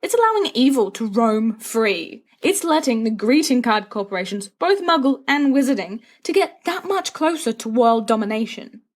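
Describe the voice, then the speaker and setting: A woman's voice: fairly high pitch, very animated, moderate pace, hardly any pauses, pitched above the performer's own voice. Woman doing a cocky teen wizard boy from a storybook, dramatic, teasing, mock-grand. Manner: theatrical, teasing, flowery speech. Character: dramatic, scornful, mischievous teenage wizard schoolboy.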